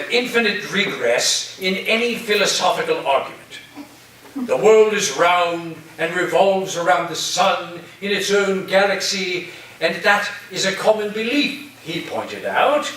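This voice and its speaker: A man in a staged play reading, playing an eccentric philosophy professor with a halting, whimsical, theatrical delivery. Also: high-pitched, a bit bright. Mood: lecturing, musing. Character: eccentric male philosophy professor full of wild conspiracy theories. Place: reverberant room, staged reading before listeners. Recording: distant echoey room recording, faint noise.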